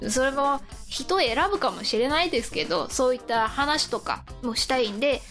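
A woman's voice: high voice, lively intonation, brisk, fairly bright tone, faint music behind. A woman hosting a casual radio chat, often laughing as she talks. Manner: giggly, often laughing while talking. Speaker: radio show host.

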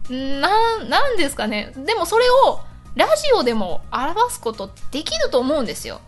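A woman's voice: high voice, wildly swooping pitch, moderate pace, soft background music. A woman co-hosting an internet radio show in casual chat, with teasing, polite but informal banter.

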